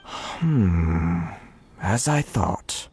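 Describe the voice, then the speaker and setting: A man playing a genial middle-aged government commissioner in a video game, speaking with courteous, grandiose flattery. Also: low voice, very slow, slight background noise, exaggerated voice. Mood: flattering, calculating. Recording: faint game music behind the voice.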